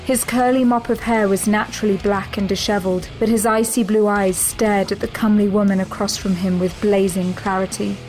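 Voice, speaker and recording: A woman's voice: mid-range voice, darkish tone, poor audio. Woman narrating a drama over music. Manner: measured, descriptive. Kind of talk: scripted narration.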